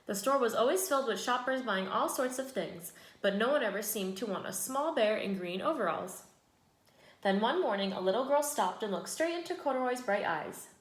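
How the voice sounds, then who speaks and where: A woman reading a picture book aloud to young children as an expressive storyteller, in an echoey room. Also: on the high side, normal speed.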